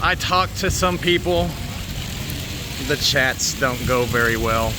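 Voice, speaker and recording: An American man chatting casually and candidly to viewers, rambling and haltingly, with plenty of swearing. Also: medium pitch, lively intonation, background noise. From United States. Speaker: vlogger.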